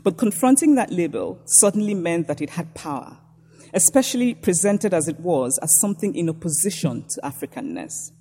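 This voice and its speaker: A woman from Nigeria with a Nigerian accent, reading a prepared lecture in a measured, reflective way. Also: fairly low pitch, animated, mellow, big-room sound, a little hiss or hum.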